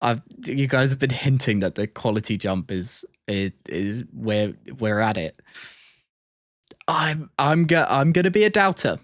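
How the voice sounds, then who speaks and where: A man doing casual livestream commentary, reacting and ranking in a halting, think-aloud way. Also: medium pitch, animated, dry recording, studio quality. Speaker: streamer reacting to and ranking game trailers. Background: music.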